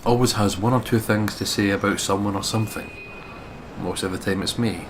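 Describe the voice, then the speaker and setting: A man's voice: lowish voice, fairly even intonation, a little fast, noisy background. A man reading visual novel narration aloud as a let's play co-reader, breaking into casual asides.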